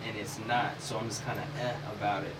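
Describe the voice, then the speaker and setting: A man with a fairly low voice speaks frankly in a casual talk, musing aloud and dropping joking asides. He sounds set back from the microphone, with steady background noise behind him. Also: fast. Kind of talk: casual discussion. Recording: distant mic with noticeable background noise.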